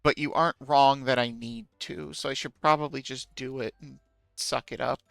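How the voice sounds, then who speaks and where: A man talks casually with his viewers while he plays, musing aloud and poking fun at himself. His voice is bright and smooth, with some vocal fry at the ends of phrases. The sound is close and dry at a desk mic. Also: mid-range voice, steady tone, normal speed, dry recording, soft background music. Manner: musing, self-deprecating, answers chat. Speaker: streamer playing a game. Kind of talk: casual gameplay commentary, chatting with viewers.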